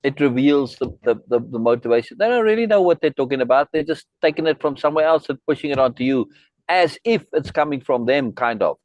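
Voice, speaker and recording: A man's voice: medium pitch, lively intonation, fast, darkish tone, no room sound, lo-fi sound. A middle-aged man from South Africa, hosting a video call and chatting in a friendly, rambling way, full of asides and restarts. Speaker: host. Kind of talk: casual chat on a video meeting.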